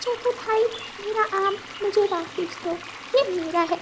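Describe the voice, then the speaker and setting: A woman's voice: very high-pitched, measured pace, noisy background, voice pushed up. A woman voicing a sad, innocent young girl bird in a cartoon, pleading childishly. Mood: upset.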